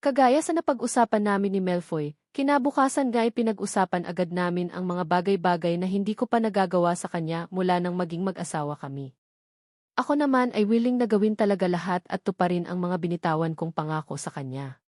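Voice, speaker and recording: A woman's voice: fairly low pitch, brisk, a little mellow, no echo, studio quality. A woman with a Filipino accent reading a first-person romance novel as a scripted narration. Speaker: audiobook narrator.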